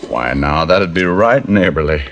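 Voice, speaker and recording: An actor playing a detective who puts on a bumbling country bumpkin from rural Arkansas, folksy and halting, in a 1950s radio drama. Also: male voice, deep voice, animated, muffled recording, pitched below the performer's own voice. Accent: put-on rural Arkansas drawl. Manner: halting, folksy, full of uh's.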